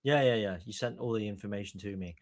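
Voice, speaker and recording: A man's voice: on the low side, fast talker, no room sound. A man from Hong Kong hosting a podcast, giving opinionated commentary in an emphatic, long-winded way. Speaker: podcast host.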